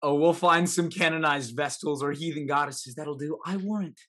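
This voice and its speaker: A young man playing a boisterous, teasing gentleman drinker with a husky voice in a classical stage comedy. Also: fairly high pitch, normal speed. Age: young adult. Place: home room, video call.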